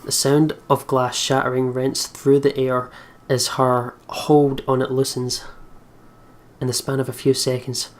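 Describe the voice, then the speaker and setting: A man's voice: medium pitch, monotone, unhurried. A man reading visual novel narration aloud as a let's player.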